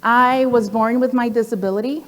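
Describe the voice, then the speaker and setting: A woman's voice: fairly high pitch, fairly even intonation, normal speed, fairly bright tone. A woman in her forties giving a personal presentation to an audience. She speaks conversationally, with frequent "um" fillers and asides. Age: 40s. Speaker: program director giving a talk. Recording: long hall reverb.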